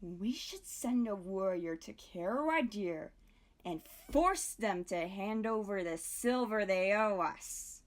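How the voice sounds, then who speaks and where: A woman's voice: mid-range voice, animated, slow pace. A woman playing a contentious, mocking royal cousin in an audio drama, with a sneering, taunting manner. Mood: haughty, contentious.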